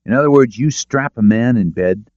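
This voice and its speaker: A man's voice: mid-range voice, normal speed, a little mellow, no echo, dull audio, voice pushed up, lightly acted. A man voicing a composed, probing lawyer who speaks directly, in a detective audiobook. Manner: direct, questioning. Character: shrewd, composed, probing male defence lawyer.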